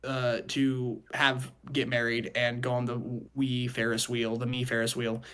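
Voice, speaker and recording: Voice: mid-range voice, level tone, unhurried, fairly bright tone, smooth. A young adult podcast host chatting casually in a group, jokey and given to repeating phrases.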